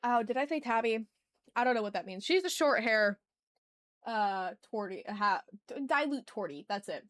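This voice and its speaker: A woman's voice: a little high, lively intonation, normal speed, a bit bright, no room sound. A woman hosting an art livestream, chatting casually and slangily with her viewers into a sensitive microphone. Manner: slangy, informal. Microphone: sensitive ASMR microphone.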